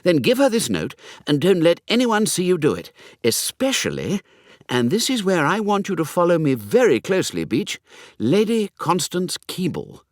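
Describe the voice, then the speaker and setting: A man voicing a breezy, chatty young gentleman with an upper-class English accent and slangy manner in a comic audiobook. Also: high voice, wildly swooping pitch, normal speed, no echo, studio quality, pitched above the performer's own voice. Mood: conspiratorial. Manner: effusive, slangy, blithe. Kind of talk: comic audiobook character acting.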